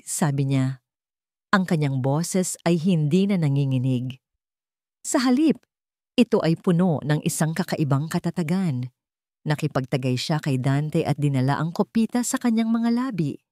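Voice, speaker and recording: A woman's voice: on the low side, a little mellow, no echo, studio quality. A woman narrating a scripted dramatic love story as a storyteller.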